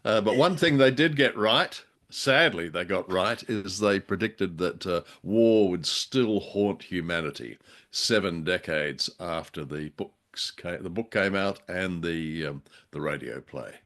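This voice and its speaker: A man co-hosting a radio drama show, talking casually in a halting, wordy, bookish way. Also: deep voice, animated, a bit bright.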